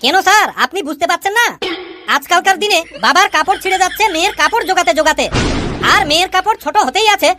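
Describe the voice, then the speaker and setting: A man playing an argumentative, know-it-all cartoon schoolboy with a pitched-up voice. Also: fast talker, background noise. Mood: defiant. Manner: argumentative, preachy. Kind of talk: comic cartoon dialogue.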